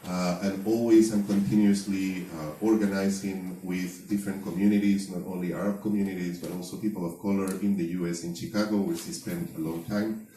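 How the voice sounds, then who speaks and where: A man with a low, mellow voice opens an evening event, thanking hosts and partners. He hesitates often, pausing on fillers. He is recorded from a distance in a roomy hall with a faint hum behind. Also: flat delivery. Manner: hesitant, many fillers and restarts. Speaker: event organizer, host. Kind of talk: introductory remarks at an event. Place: event space before an audience. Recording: echoey hall, distant mic, faint noise.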